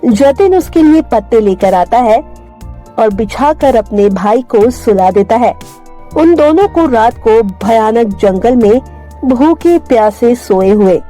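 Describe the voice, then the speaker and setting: A woman reads a children's tale in scripted storytelling style. Her voice is fairly high and soft-edged, mellow throughout, with music playing underneath.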